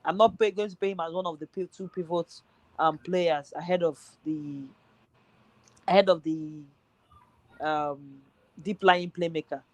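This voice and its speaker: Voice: medium pitch, steady tone, a little slow, long pauses, darkish tone, no echo, low-quality recording. A speaker talking football on a casual podcast, thinking aloud with lots of fillers, voice nearly worn out. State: voice tiring, nearly gone.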